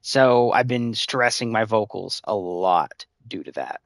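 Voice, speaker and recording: Slightly hoarse man streaming gameplay. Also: lowish voice, expressive, measured pace, dry recording, clean studio sound. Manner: rambling, filler-heavy advice-giving. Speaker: game streamer. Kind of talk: casual live-stream gameplay commentary.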